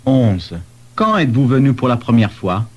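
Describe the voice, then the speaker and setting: A man playing a polite questioner in a scripted language-lesson dialogue, articulating deliberately. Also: mid-range voice, big pitch swings, darkish tone. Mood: polite, inquiring.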